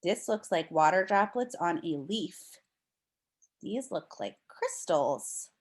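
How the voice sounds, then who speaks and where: Slow, animated American woman reading aloud. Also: on the low side. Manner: deliberate, explanatory, addresses young listeners with questions. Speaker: teacher reading a children's book aloud. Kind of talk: read-aloud lesson for young children.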